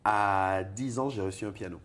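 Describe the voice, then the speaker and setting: A deep baritone man from Togo, guest in an interview, talking in an animated way about his music and laughing often. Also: normal speed. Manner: animated, laughs often, repeats words when searching. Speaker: singer-songwriter and poet guest. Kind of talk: conversational interview.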